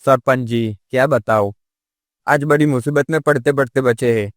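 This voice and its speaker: A man's voice: mid-range voice, normal speed, natural voice. A man playing a poor, humble villager in a cartoon story, speaking in an earnest, anxious way. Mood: troubled. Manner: respectful, earnest. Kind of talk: Hindi cartoon moral-story dialogue.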